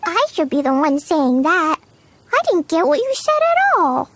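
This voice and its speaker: A woman's voice: high voice, wildly swooping pitch, normal speed, fairly bright tone, full character voice. A woman voicing a cheerful, innocent and rash little girl in a video game, with childlike, simple phrasing. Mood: innocent, puzzled. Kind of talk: scripted video game voice acting. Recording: studio voice over game music.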